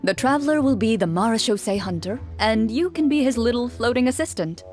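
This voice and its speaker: An actress voicing a composed woman who calmly explains the rules of a tabletop game as its game master. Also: a little high, soft background music, natural voice. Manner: calm, measured, explaining rules. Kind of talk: game cutscene voice acting.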